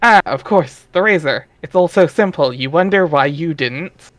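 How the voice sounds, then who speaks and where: A man's voice: fairly high pitch, animated, moderate pace, fairly bright tone, no room sound. A man narrating an amateur dramatic reading of a webcomic, wry and theatrical, with casual asides.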